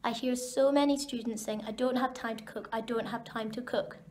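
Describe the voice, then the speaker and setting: A woman's voice: fairly high pitch, steady tone, brisk, a little mellow. A young woman with a Scottish accent, chatting upbeat to camera as she cooks in a small kitchen. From Scotland. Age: young adult. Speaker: student vlogger. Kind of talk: casual cooking vlog. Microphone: camera mic, handheld and propped up. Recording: echoey kitchen with faint background noise.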